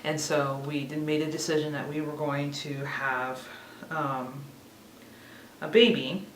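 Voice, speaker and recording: A woman's voice: sits low, steady tone, a little slow. Woman, 30s, casual vlog chat, rambling, self-laughing, roomy echo, distant mic. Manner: chatty, rambling, laughs at herself. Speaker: vlogger. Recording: echoey room, mic a bit far off, faint hiss.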